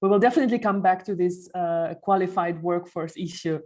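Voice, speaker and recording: A woman's voice: on the low side, a little fast, a little mellow. A woman hosting a podcast, introducing and moderating in a presenter's scripted style. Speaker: podcast host. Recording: music behind the voice.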